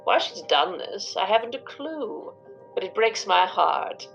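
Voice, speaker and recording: A woman playing the bitter, pious middle-aged daughter of a disgraced star, speaking sternly and deliberately in a dramatized interview. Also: mid-range voice, expressive, creaky, fairly bright tone. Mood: righteous, sorrowful. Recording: dull lo-fi sound with music.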